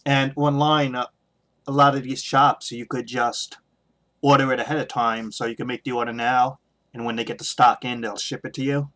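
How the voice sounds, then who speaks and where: A middle-aged man with a medium-pitched voice speaks off the cuff, like a hobby video blogger giving his take. His delivery is halting and hesitant, often pausing as he works out the next phrase. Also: level tone, fairly bright tone. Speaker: video blogger on toy collecting. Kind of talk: unscripted opinion monologue.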